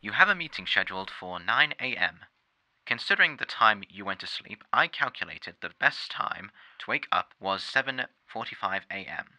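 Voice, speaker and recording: An actor playing a dutiful robot servant in a science-fiction audio drama, polite, servile and precise. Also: male voice, on the low side, big pitch swings, moderate pace, pushed and bright, no echo, heavily acted, poor audio. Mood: obliging.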